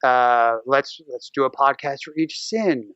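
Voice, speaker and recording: A man's voice: medium pitch, measured pace, sharp tone, smooth, no echo, muffled recording. An American man chatting casually as a podcast guest, rambling and acting out his own thoughts as he goes. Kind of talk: casual podcast interview chat.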